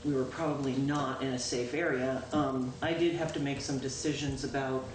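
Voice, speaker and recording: A woman town clerk giving a chatty, informal report to a town board, loud-voiced and pausing often with "um". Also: extremely low pitch, steady tone. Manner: chatty, with frequent "um" and self-corrections. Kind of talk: informal spoken report at a public meeting. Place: town meeting room. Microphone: distant room microphone. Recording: echoey, distant, noisy local-access meeting recording.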